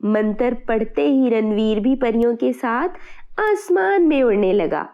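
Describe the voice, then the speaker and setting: Female narrator for scripted children's moral stories: high-pitched, with some vocal fry. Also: expressive, unhurried, muffled recording.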